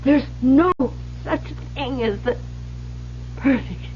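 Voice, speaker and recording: An actress playing a terrified woman in a radio drama, pleading for her life in gasping, halting, tearful phrases. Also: sits high, slight background noise. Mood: tearful, desperate. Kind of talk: old-time radio drama acting. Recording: dull lo-fi vintage radio recording.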